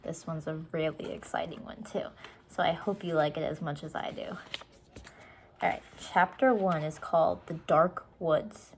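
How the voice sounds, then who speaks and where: A woman's voice: sits low, steady tone, normal speed, slight background noise. Woman reading a children's story aloud. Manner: explains words and asks questions for young listeners. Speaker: storybook reader.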